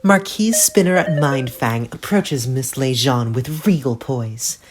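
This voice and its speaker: A woman playing a pompous, theatrical alien pirate marquise, narrating herself grandly in an audio drama. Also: extremely low pitch. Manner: pompous, florid, deliberate.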